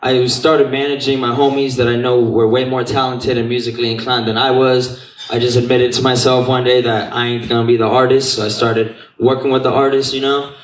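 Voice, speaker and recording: A man's voice: medium pitch, little pitch movement, brisk, a little hiss or hum. A man from Puerto Rico who owns a marketing business, talking to an event crowd in a loose, profane style full of street slang. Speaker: marketing business owner giving a talk. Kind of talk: casual talk to an audience. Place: event venue. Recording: roomy sound from a distance.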